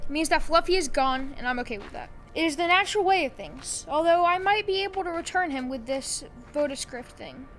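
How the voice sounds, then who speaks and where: High-voiced, earnest young hero played by a woman. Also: animated, brisk, hardly any pauses, a bit bright, faint music behind. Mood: resigned, firm. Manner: earnest, reflective. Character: principled, gentle young hero, accepting of loss. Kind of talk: scripted audio drama acting.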